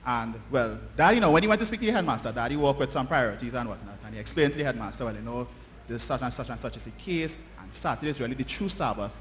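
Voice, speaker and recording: A Trinidadian man telling a story informally to a church congregation, conversational and checking in with his listeners using short tag questions. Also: medium pitch. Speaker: church children's story teller. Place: church, speaking to children and congregation. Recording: noisy live church recording, often distant.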